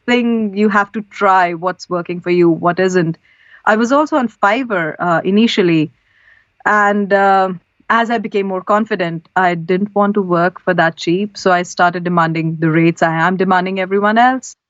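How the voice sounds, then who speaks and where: A woman's voice: medium pitch, moderate pace, dry recording. A woman from India, a voice-over artist, answering interview questions in an earnest, practical way. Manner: earnest, practical, gives advice step by step. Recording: slightly dull, lo-fi audio.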